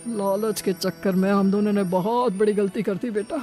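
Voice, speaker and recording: A woman's voice: medium pitch, fairly even intonation, moderate pace, mellow, soft background music, close to an everyday voice. Woman as a fussy older father-in-law. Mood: worried. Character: older, greedy but mild father-in-law. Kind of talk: Hindi cartoon moral-story dialogue.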